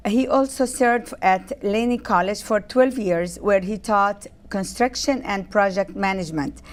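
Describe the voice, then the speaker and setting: A woman hosting a TV interview, reading a formal guest introduction and then prompting with brief encouraging questions. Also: medium pitch. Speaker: talk show host.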